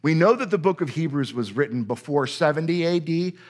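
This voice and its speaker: Male preacher, slightly high-pitched, emphatic explainer of scripture. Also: animated, fairly quick, a little mellow. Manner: emphatic, repeats words for stress; reads scripture aloud then explains it. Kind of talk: sermon teaching on scripture.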